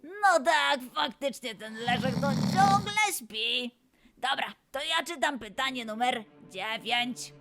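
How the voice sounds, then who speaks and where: A woman playing a forgetful, excitable young male lion who frets and exclaims in a children's audio quiz show. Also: high-pitched, takes it slowly, noisy background, full character voice. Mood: eager. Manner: fretful, exclaiming, self-doubting. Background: music.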